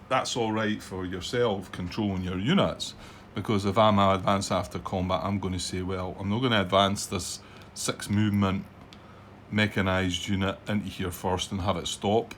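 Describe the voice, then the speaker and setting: Rambling Scottish man, lowish voice. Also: a bit bright, slight background noise. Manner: rambling, hesitant, lots of ums and restarts. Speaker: board wargame channel host. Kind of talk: casual commentary on a solo board game playthrough.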